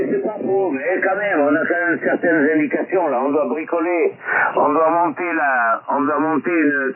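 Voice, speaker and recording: A man's voice: on the high side, background noise. A man chatting casually as a radio amateur over a tinny transmission. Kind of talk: casual ham radio chat. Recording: tinny radio link with voices and clutter behind.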